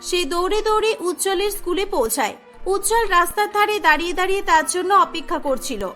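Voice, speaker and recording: A woman's voice: very high voice, fast talker, pushed and bright, faint music behind. A woman narrating a cartoon story from a script.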